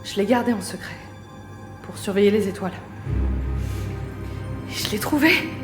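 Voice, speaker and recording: A woman's voice: medium pitch, expressive, normal speed, close to an everyday voice. A woman playing a determined, earnest runaway in a French sci-fi audio drama. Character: determined, earnest female runaway seeking her lost father. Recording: roomy echo, music behind.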